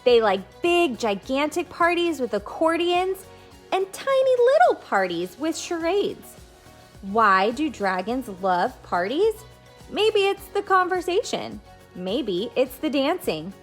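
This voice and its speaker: Woman, high voice, slow pace, children's teacher, picture-book read-aloud, addresses kids directly, music behind. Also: big pitch swings. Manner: addresses children directly with simple explanations and questions.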